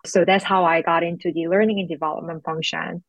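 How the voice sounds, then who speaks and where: A young woman from South Korea talks as a guest in an interview, reflective and thoughtful, pausing on fillers. Her voice sits a little low. The recording is dry and somewhat muffled. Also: fairly even intonation, no room sound. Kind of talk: interview conversation.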